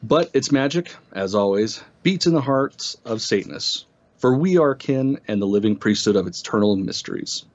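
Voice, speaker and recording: A man's voice: lowish voice, lively intonation, moderate pace, a little mellow. A man reading a literary essay aloud with casual asides, stumbling over words now and then. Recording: slightly dull, band-limited audio.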